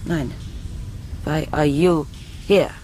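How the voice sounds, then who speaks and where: A woman playing a bitter, fervently patriotic German in a radio drama, intense and clipped, with a German accent and halting English. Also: low voice, fairly even intonation, dark tone, slight background noise. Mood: defiant.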